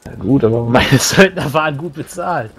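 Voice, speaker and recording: A man's voice: mid-range voice, expressive, normal speed, a crowd in the background, lightly acted. A man playing a battle-worn, haunted mercenary veteran in a tabletop roleplay, hesitant and wryly modest. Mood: cautious.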